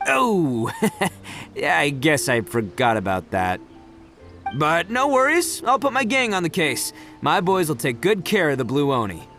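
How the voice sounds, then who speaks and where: A brash, laughing oni gang boss. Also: male voice, fairly high pitch, huge pitch swings, a little slow, heavily acted. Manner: boisterous, laughing, rambling and boastful. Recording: game audio with soft music.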